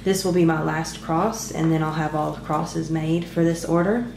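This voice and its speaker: A woman in her thirties, congested from a cold, casually showing her resin crafts at a home table with a relaxed, rambling manner full of ums. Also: low voice, normal speed, vocal fry, reverberant, background noise. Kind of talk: casual show-and-tell narration.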